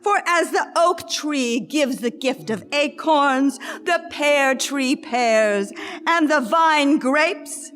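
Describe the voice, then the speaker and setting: An actress playing a gushing female swindler in a classical stage farce, effusive with overdone theatrical flattery. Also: high-pitched, slow pace, long reverb tail.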